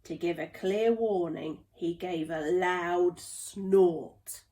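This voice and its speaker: A woman reading a children's storybook aloud in a deliberate, dramatic storytelling manner. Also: fairly low pitch, warm tone. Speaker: play therapist reading a children's book. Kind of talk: expressive children's storybook narration.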